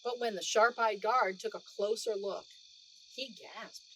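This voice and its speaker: A woman narrating a children's storybook aloud in an expressive read-aloud style. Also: medium pitch, unhurried, a little hiss or hum, rough recording. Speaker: storybook reader.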